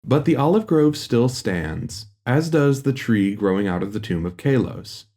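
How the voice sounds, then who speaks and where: A man narrating a gothic horror audiobook in a measured, solemn and formal storytelling manner. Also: mid-range voice, animated, dark tone. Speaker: audiobook reader. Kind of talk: scripted literary narration of a gothic horror tale.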